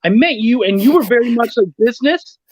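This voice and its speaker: A man telling anecdotes as a guest in a casual chat, animated and swearing often. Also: very high voice, a little fast, no room sound. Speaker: guest, freelance writer and editor.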